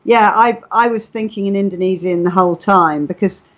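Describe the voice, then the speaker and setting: A woman's voice: mid-range voice, a little fast. A woman chatting casually on a live stream, telling stories and explaining things in a chatty way. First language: English. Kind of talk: casual live chat, storytelling. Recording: dull lo-fi internet chat audio.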